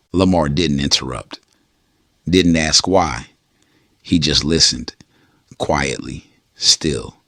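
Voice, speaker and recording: Male narrator, very low voice, intimate bedtime storytelling, dry booth sound. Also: sharp tone, dry recording. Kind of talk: scripted storytelling.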